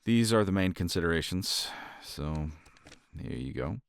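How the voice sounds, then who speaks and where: Casting: deep, gravelly-voiced man, tender partner roleplay, lively intonation. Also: measured pace, dry recording. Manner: tender, reassuring partner talk to a listener. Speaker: ASMR roleplay performer. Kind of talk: romantic ASMR roleplay, intimate soft talk.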